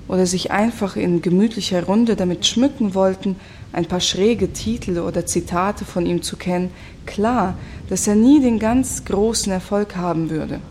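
An actress playing a betrayed, bitter female commune dropout in a radio play, speaking in a reflective, wry, measured way. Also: medium pitch, darkish tone, a little hiss or hum, lightly acted. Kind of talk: radio play dialogue and monologue.

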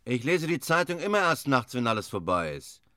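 An actor narrating as a sober chronicler in a radio drama, factual and measured. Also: male voice, medium pitch, sing-song, sharp tone, smooth, no echo, studio quality. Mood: matter-of-fact. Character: sober male chronicler reciting theatre history.

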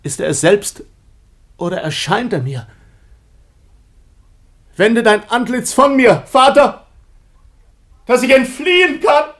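Tormented son, high voice, wildly swooping, anguished, feverish radio drama declamation. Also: male voice, lively intonation, takes it slowly, pauses often, fairly bright tone, heavily acted. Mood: horrified despair. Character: guilt-ridden son, male, tormented, frantic and horrified. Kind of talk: classical German radio play acting.

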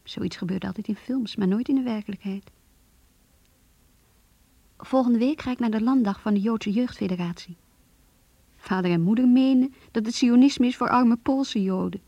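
An actress playing a dreamy, wistful young woman thinking aloud in a radio play, in a hushed husky voice with dull sound. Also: on the high side, normal speed, long pauses, dry recording. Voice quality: husky, creaky. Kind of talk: radio play inner monologue.

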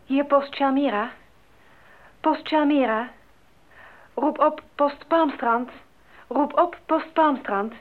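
Brief: anxious radio operator at a remote post, high, unhurried, thin vintage sound. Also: female voice. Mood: worried. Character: worried female radio operator at mission post. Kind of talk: old radio drama acting. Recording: tinny, band-limited old radio recording.

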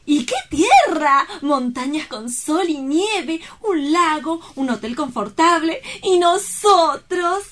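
A woman's voice: high-pitched, animated, slow pace, hardly any pauses, background noise. A woman playing an exuberant, romantic young woman in love in an audio drama, effusive, playful and full of exclamations. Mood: gushing, playful. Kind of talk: theatrical audio drama acting.

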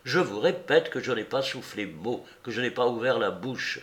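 A man's voice: medium pitch, lively intonation, moderate pace, fairly bright tone, lightly acted. A man reading aloud and voicing a young male clerk who speaks in a dry, offhand, dismissive way. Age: young adult.

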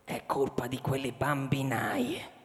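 An actor playing an old, folksy school caretaker in a radio drama, insistent and suspicious, repeating himself. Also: male voice, medium pitch, very animated, slow. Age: older. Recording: hall reverb, loud music underneath. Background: loud music.

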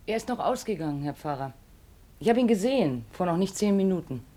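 An actress playing a gentle, wistful mother among fugitive thieves in a 1950s German radio play, speaking in a dreamy, quietly persuasive way. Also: on the low side, very animated, fairly quick. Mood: matter-of-fact.

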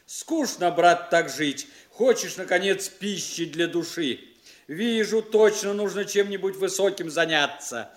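An actor playing an eager provincial gentleman in a stage comedy, reading aloud in a declamatory, relishing way. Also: high voice, full character voice. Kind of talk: theatrical stage comedy acting.

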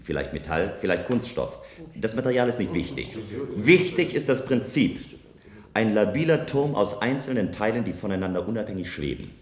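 An actor playing a self-assured visionary engineer in a German science fiction radio play, speaking in measured, persuasive statements. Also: male voice, fairly low pitch, very animated. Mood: assured, insistent. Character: self-assured visionary male engineer proposing a bold tower. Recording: roomy, echoing studio radio play sound.

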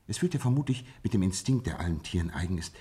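An actor playing a shrewd, self-assured gentleman detective in a German radio play, explaining deliberately with teasing asides. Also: sits low, sing-song, breathy edge, exaggerated voice. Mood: confident, dramatic.

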